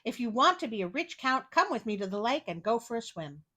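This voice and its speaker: A woman reads the part of a sly storybook tomcat in boots, bright and clear in intent. He is courtly and self-assured, a smooth-talking schemer who always sounds in charge. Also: fairly high pitch, big pitch swings, brisk, exaggerated voice. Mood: confident, scheming. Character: clever, smooth-talking male cat in boots, confident schemer.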